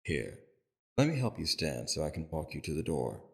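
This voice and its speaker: A man playing a calm, courteous villain leader in an audio roleplay, speaking in a civil, measured, softly probing way with a very deep, gravelly voice. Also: full character voice. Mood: gentle, amused.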